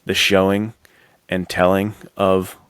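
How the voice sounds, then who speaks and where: Deep-voiced American man chatting casually. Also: little pitch movement, slow, fairly bright tone, clean tone, dry recording. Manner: informal, slangy, enthusiastic. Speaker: podcast co-host. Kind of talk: casual film chat.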